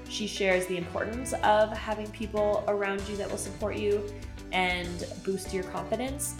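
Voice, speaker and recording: Podcast host woman in an echoey room. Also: medium pitch. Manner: friendly, asks questions, laughs easily. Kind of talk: podcast intro and interview.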